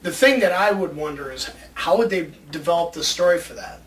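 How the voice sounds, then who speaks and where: A man co-hosting a casual chat show, pitching ideas with a fan's enthusiasm. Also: expressive, fairly quick, a bit bright. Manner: enthusiastic, fannish. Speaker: co-host of a movie ideas show. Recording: distant mic with faint background noise.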